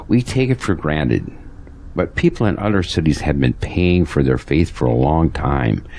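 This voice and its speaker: A man's voice: extremely low pitch, gravelly, darkish tone, heavily acted. A man acting as a devout, troubled pastor in an audio drama, speaking with earnest, preachy conviction. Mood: earnest, fervent.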